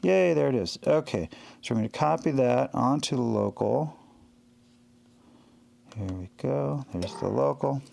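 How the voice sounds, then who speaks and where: A man with a heavily creaky, fry-laden voice talks at an unhurried pace. He narrates his tasks casually in short, halting bursts, as if walking viewers through each step. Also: medium pitch, animated, a little mellow. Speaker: livestreaming audio producer. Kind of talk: casual work-along narration.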